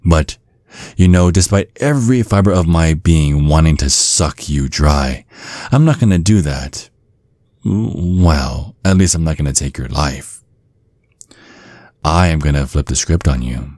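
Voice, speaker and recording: A man playing a seductive, possessive vampire in an intimate ASMR roleplay, husky and breathy, with a teasing, menacing drawl that often sinks to a whisper. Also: a bass voice, animated, a little slow, a little mellow, no echo, studio quality, heavily acted. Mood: teasing, smug. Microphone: close, dry microphone.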